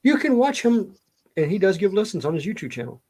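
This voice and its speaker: A man's voice: high-pitched, big pitch swings, brisk, a little mellow. A middle-aged man with a Southern US accent chatting casually and telling stories in a rambling way. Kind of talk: casual chat, storytelling.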